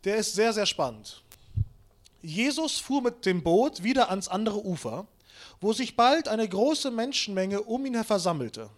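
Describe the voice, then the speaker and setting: A man in his late twenties, born in Kazakhstan, preaches while moving about. His voice sits high for a man. He mixes Bible reading with playful, self-mocking anecdotes. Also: unhurried. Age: 20s. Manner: lively storytelling with self-mocking humor, walks around while preaching. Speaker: pastor. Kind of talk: sermon.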